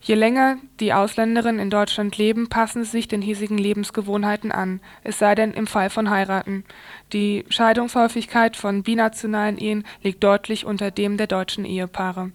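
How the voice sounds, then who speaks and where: A woman's voice: mid-range voice, flat delivery, fairly quick. A woman presenting radio news from a script.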